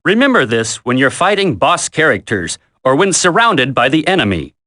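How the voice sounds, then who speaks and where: A confident military instructor from a video game tutorial, with a bright, forceful voice. His pitch moves in lively swings as he gives firm, emphatic, encouraging directions. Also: normal speed. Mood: instructive, confident.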